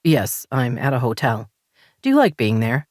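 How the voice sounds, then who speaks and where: A defensive, sulky husband, read by a woman in a low, even voice. He sounds nervous and wounded rather than loud. The recording is close and dry. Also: moderate pace, no room sound, full character voice.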